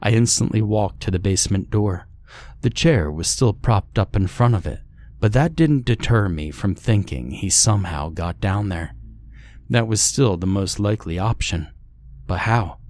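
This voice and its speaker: A man's voice: on the low side, expressive, normal speed, darkish tone, dry recording. A man reading a scripted first-person horror story as its narrator.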